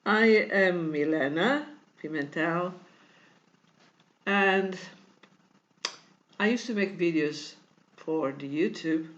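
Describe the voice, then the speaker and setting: A woman with a Portuguese accent talks through a craft tutorial very slowly, halting often with fillers as she hunts for words in a language that is not her first. The recording is dull and lo-fi. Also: fairly low pitch, fairly bright tone. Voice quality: many pauses and fillers, searching for words. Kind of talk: hesitant tutorial explanation in a second language.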